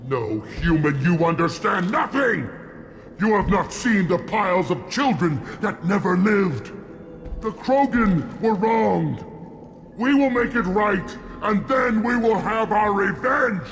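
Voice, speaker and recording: A man's voice: high-pitched, expressive, slow, soft background music, exaggerated voice. A man playing a menacing, vengeful alien clan spokesman in a video game, pompous and declamatory. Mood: vengeful fury. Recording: long hall reverb.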